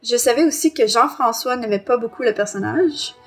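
A woman's voice: fairly high pitch, moderate pace, husky. A woman with a Quebec French accent co-hosting a review podcast. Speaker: podcast co-host. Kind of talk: casual review discussion, partly reading aloud. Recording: music under the voice.

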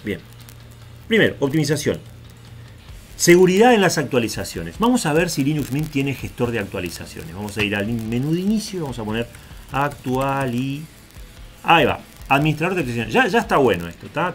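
A man from Argentina, raised in Uruguay, with a Rioplatense accent, casually talking through a screen-share tutorial with frequent asides and tag questions. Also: mid-range voice. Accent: Rioplatense Spanish. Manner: chatty, digressive, checks in with "¿tá?". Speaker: tech video host.

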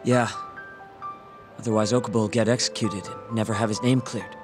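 A man's voice: lowish voice, steady tone, brisk, music in the background, lightly acted. A man playing a subdued, sincere young sidekick in a video game cutscene, casual in manner. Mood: earnest, worried. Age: young adult.

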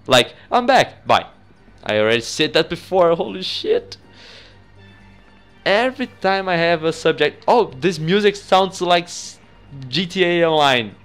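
A young man from Brazil with a Brazilian accent, a native Portuguese speaker, giving excitable, sweary, casual commentary as host of a gaming video. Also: sits high, measured pace, clean tone, soft background music.

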